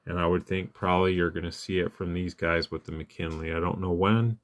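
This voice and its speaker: A man's voice: deep voice, level tone, fairly quick. A man hosting a casual hands-on radio gear demo, talking in a plainspoken, informal way. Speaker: radio review host. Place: indoors at an equipment bench.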